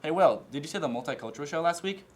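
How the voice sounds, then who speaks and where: A man's voice: a little high, animated, racing. A teenage boy hosting a school news show with casual banter. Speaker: student news anchor.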